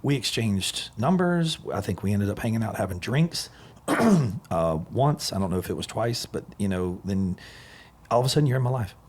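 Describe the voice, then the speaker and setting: A man's voice: fairly low pitch, expressive, normal speed, slight background noise. A man in his forties hosting a podcast, telling stories in an enthusiastic, rambling casual chat. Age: 40s. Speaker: podcast host.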